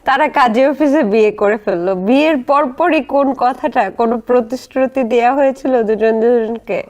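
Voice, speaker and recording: A woman's voice: a little high, normal speed, darkish tone, muffled recording. A Bangladeshi woman hosting a conversational interview, asking questions in an informal, inquisitive way.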